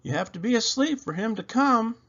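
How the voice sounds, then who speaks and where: A man voicing a kind, gentle mother in a children's storybook. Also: extremely high pitch, animated, a little fast, highs cut off, pitched above the performer's own voice, full character voice. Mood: tender.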